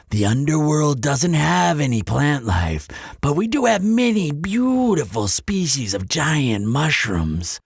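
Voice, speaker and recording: A man's voice: on the high side, creaky, breathy edge, no room sound, studio quality, heavily acted. A man voicing a courteous, eager demon hotel receptionist in an audio roleplay, speaking in polished, salesmanlike customer-service patter. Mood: obliging, enthusiastic. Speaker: hotel concierge character. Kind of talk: scripted audio roleplay addressed to the listener.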